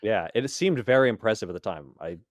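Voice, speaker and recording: A man's voice: on the low side, lively intonation, fast talker, no room sound, studio quality. A man co-hosting a casual podcast, explaining details like a knowledgeable fan. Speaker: podcast co-host. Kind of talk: casual chat.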